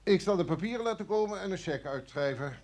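An actor in a radio play playing a cool, coercive security service official, formal and smoothly insistent. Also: male voice, sits high, lively intonation, quick pace, darkish tone. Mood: smooth, controlled.

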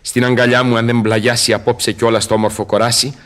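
An actor in a radio drama playing a haughty, impatient, ardent seducer with a declamatory delivery. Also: male voice, mid-range voice, little pitch movement, a bit bright, lightly acted. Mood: impatient, demanding. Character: learned man turned impatient, ardent, imperious seducer. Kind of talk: theatrical radio drama acting.

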